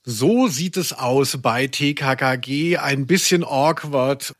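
A man's voice: medium pitch, slow, slight background noise. A man co-hosting a podcast in a casual, chatty and wry way. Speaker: podcast co-host.

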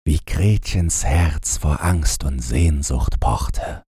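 A man's voice: very deep voice, slow, dark tone, breathy edge, no room sound, studio quality. A man narrating a bedtime fairy tale as a scripted audiobook.

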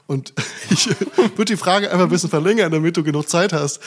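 A man's voice: a little high, a little fast. A man from the Frankfurt area hosting a video podcast, interviewing his guest in an easygoing, joking way. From Frankfurt am Main area, Germany. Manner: easygoing, joking, asks questions. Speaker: podcast host. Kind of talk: casual interview over food. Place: indoor studio, video podcast.

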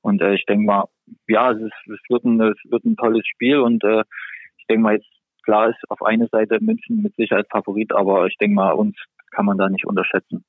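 A male hockey player, a podcast guest, answering in a halting way with many fillers over a remote call. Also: on the low side, steady tone, no echo. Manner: halting, lots of fillers and restarts. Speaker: ice hockey defenceman guest. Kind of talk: casual podcast interview. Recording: tinny phone-line sound, dry.

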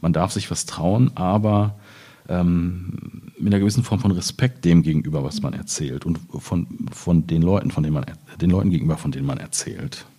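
A man in middle age from the Ruhr area in Germany, speaking as a poet in a reflective literary talk, thoughtful and halting as he searches for words. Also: sits low, warm tone, slightly rough. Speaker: poet discussing his work.

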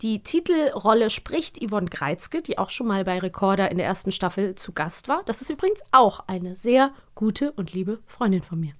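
A woman's voice: medium pitch, animated, normal speed, hardly any pauses. A woman co-hosting a podcast, chatting casually about audio dramas. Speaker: podcast co-host.

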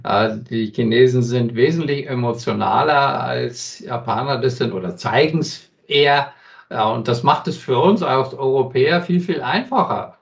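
Gravelly guy talking as a consultant guest, lots of ums and restarts, sits back from the mic. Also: medium pitch, normal speed. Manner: thoughtful, frequent hesitation fillers and restarts. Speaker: industry consultant as interview guest. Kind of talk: conversational interview answers. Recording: dull sound from some distance.

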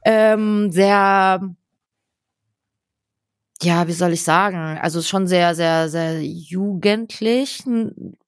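Young adult, co-host, halting, word-searching, dry close recording. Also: medium pitch, clear, resonant tone, no room sound. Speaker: podcast co-host. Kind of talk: casual chat.

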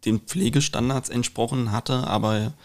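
A man's voice: medium pitch, fairly even intonation, moderate pace, a little mellow. A man chatting casually as a podcast co-host, explaining things in an anecdotal way. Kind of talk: casual chat.

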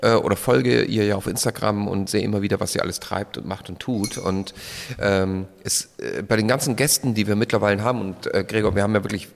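A man's voice: fairly low pitch, fairly even intonation, fairly quick, gravelly, hardly any pauses. A man hosting a podcast live on stage, talking in a rambling way with many hesitations. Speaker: podcast host. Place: large live venue hall. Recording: long hall reverb.

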